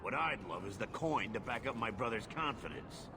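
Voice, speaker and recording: A man voicing a gruff, greedy, suspicious dwarf in a video game, curt and scornful. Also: fairly low pitch, lively intonation, normal speed, background noise. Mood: grudging, scornful.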